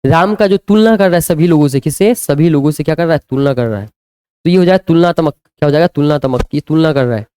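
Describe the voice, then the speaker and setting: A man's voice: on the high side, fast, dark tone, clear, resonant tone. A man teaching a live online grammar lesson, quizzing students with repeated questions and answering them himself.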